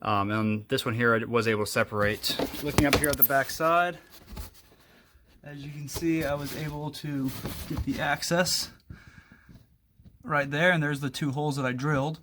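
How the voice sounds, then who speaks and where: A man's voice: medium pitch, fairly even intonation, moderate pace, long pauses, background noise. A man hosting a casual how-to video, explaining step by step as he demonstrates. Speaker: host of a DIY vehicle video.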